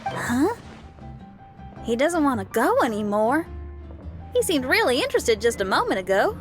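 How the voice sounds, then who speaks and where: A spirited young rock musician from a video game. Her high, lively voice is frank and folksy, with clear music in the background. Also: moderate pace. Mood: friendly, surprised. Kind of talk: video game character acting.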